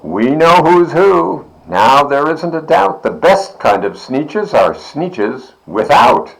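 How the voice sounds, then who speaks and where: A man's voice: fairly high pitch, big pitch swings, slow pace, vocal fry, voice pushed up, exaggerated voice, poor audio. A man voicing indignant, boastful beaked creatures from a children's storybook, exclaiming haughtily. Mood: triumphant.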